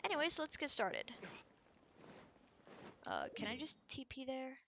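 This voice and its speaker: A woman's voice: sits high, wildly swooping pitch, unhurried, fairly bright tone, slight background noise. A woman streamer giving casual, chatty, informal commentary while she plays a game. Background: game music.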